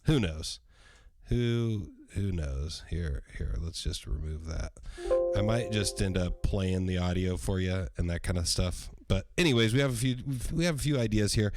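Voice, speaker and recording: A hoarse man hosting a solo podcast livestream, talking in a rambling, profane way full of ums. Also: deep voice, moderate pace, loud music behind the voice. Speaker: podcast host.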